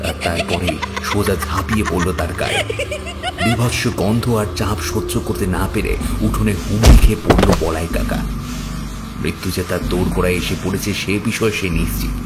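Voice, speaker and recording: A man narrating a scripted horror story in a dramatic storytelling style. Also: sits low. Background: loud horror background music.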